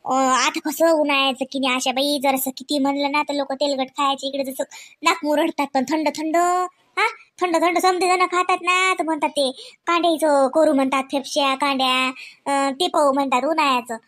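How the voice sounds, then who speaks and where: A woman's voice: sits high, fairly even intonation, a little fast, fairly bright tone. A woman voicing a chatty, unpolished village sister-in-law in a comic cartoon, with a Varhadi Marathi accent and a folksy, rambling, word-searching manner. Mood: chatty, explaining.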